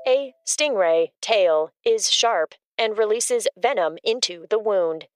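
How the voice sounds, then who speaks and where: A woman giving scripted factual asides as a co-host, precise, formal and deadpan, a little robotic. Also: medium pitch, slow pace, no echo. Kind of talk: scripted informational narration.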